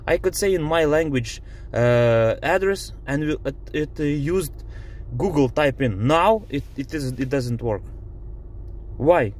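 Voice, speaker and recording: Lithuanian guy in his car, slow frustrated english, lots of uhs, faint car hum behind. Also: on the high side, animated, a little mellow. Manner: frustrated, halting, many fillers. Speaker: driver demonstrating a car app. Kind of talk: casual complaint video. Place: inside a parked car.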